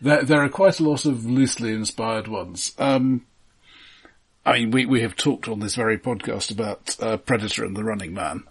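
A man co-hosting a film podcast, talking casually in a halting but precise, explanatory way. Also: lowish voice, slightly rough.

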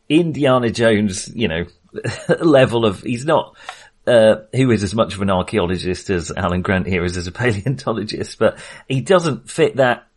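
A man co-hosting a podcast, talking casually and informally in a film discussion. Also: lowish voice. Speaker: podcast co-host.

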